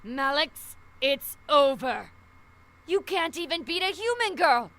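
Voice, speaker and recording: A woman's voice: high-pitched, expressive, takes it slowly, fairly bright tone, no echo, exaggerated voice. A woman voicing a commanding, impatient female devil in a visual novel game, biting and contemptuous. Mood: scornful.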